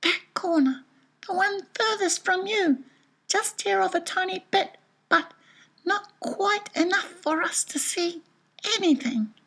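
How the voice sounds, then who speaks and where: Brief: a gentle young boy, high and slow, soft and encouraging. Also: creaky, full character voice, poor audio.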